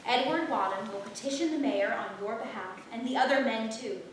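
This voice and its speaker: A woman's voice: on the high side, fairly quick, lightly acted. A woman playing a weary, practical young maidservant in love in a staged play reading, earnest and exasperated. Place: theatre stage, distant microphone. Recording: very echoey theatre, far-off mic, faint noise.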